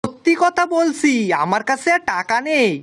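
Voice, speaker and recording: Woman voicing a high-pitched, naive village uncle. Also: sing-song, moderate pace, a bit bright. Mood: worried. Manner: earnest, guileless, folksy. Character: simple, kind-hearted, naive village man called uncle. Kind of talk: children's cartoon acting.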